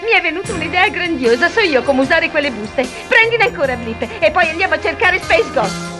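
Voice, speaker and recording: An actress voicing an eager, quick-witted young woman sidekick in a dubbed cartoon. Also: extremely high pitch. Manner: bright, lively, eager. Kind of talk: 1960s cartoon dubbing. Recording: dubbed cartoon soundtrack with loud music.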